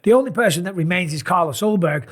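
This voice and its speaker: Tired, raspy-voiced man talking fights. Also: high-pitched, quick pace. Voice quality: raspy, sore voice. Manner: animated, emphatic, rambling asides. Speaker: MMA analyst and channel host. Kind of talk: casual fight commentary to camera.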